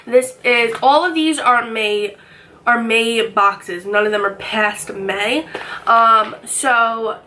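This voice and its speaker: Bright chatty young woman with a cold. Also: on the high side, takes it slowly, a little hiss or hum. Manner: chatty, upbeat, rambling. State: slightly raspy from a cold. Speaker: beauty vlogger. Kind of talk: casual unboxing chat. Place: bedroom, indoors.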